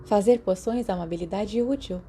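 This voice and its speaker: A Brazilian woman narrator voicing a kindly, brisk, motherly school nurse in an audiobook, speaking gently and with measure. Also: medium pitch, lightly acted. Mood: kindly, matter-of-fact. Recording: clearly noisy background.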